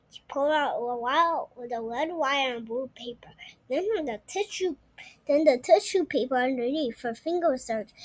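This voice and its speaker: A young girl reading a storybook aloud, haltingly and often repeating words. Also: high-pitched, no room sound, poor audio. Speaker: story reader.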